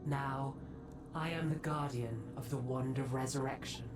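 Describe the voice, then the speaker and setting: Fantasy game role: an ancient centaur woman, stern guardian weighed by remorse, low register, measured solemn pace. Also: steady tone, music in the background. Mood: solemn, sorrowful. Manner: grave, slow, formal delivery. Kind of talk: dramatic fantasy game voice acting.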